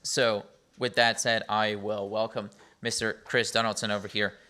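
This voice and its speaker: A man's voice: lowish voice, flat delivery, moderate pace, pushed and bright. A man hosting an event, casually introducing the next speaker at a conference, with some fillers. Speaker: event host. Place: conference hall.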